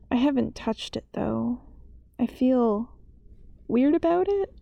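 A woman acting out an uneasy baker in an audio horror story, confiding her dread slowly and hesitantly. Her voice is mellow and creaky, recorded very dry and close. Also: fairly high pitch, dry recording. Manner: halting, hesitant, brooding. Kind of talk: dramatic horror monologue.

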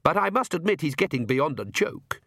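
A man's voice: fairly high pitch, sing-song, moderate pace, sharp tone, no echo, clean studio sound, pitched above the performer's own voice, exaggerated voice. A man voicing a haughty, scheming middle-aged vampire count in a storybook, urbane and patronising. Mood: dry, irritated. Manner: theatrical, urbane.

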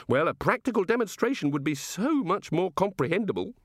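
A man's voice: sits high, big pitch swings, a little fast, no room sound, clean studio sound, voice pushed up. A man voicing an innocently curious, fussy god in an audiobook, inquisitive and precise. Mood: bright, curious. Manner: clinical, inquisitive, oblivious, precise wording.

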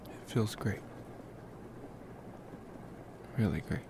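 A man playing a devoted young college vampire in an intimate ASMR roleplay, speaking in a hushed, halting, tender way. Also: natural voice. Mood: happy, adoring. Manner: hushed, halting, soothing, affectionate. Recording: clear steady background ambient noise.

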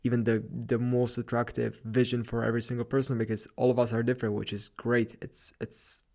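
A man with A Slavic accent hosts a solo podcast, talking in a loose, rambling and upbeat way about getting better at life. His voice has a gravelly, creaky edge at a middling pitch. He records alone in his apartment late at night. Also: little pitch movement, a little fast, darkish tone. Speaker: podcast host. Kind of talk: solo motivational podcast monologue.

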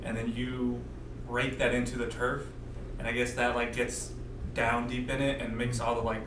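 A man's voice: mid-range voice, level tone, moderate pace, reverberant, distant mic, background noise. A young man, a podcast guest, rambling casually through a story with lots of fillers. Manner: rambling, filler-heavy explaining.

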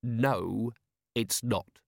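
A man's voice: medium pitch, very slow, gravelly, no room sound, studio quality. A man voicing a stubborn, cynical city watch commander in an audiobook, blunt and plain-spoken. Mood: icy. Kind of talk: audiobook character voice.

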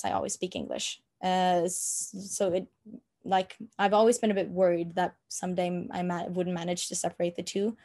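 A woman's voice: on the low side, darkish tone. Hesitant young woman, Norwegian accent. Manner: hesitant, many fillers and restarts. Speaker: interviewee. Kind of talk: casual interview talk. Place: room at home.

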